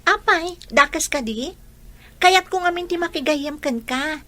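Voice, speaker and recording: A woman playing a sensible teenage school friend in a radio drama, giving lively advice. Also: high voice, measured pace, no echo. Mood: advising. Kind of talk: Ilocano radio drama acting.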